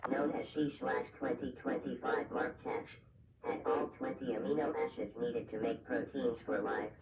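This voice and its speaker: A roomy, distant recording carries a solemn male emergency announcer, played by a man. He reads a scripted alert formally and impersonally over clear background noise. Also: medium pitch, steady tone, normal speed, lightly acted. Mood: grave, formal. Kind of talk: scripted emergency broadcast narration. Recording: echoey, distant, noisy alert broadcast audio.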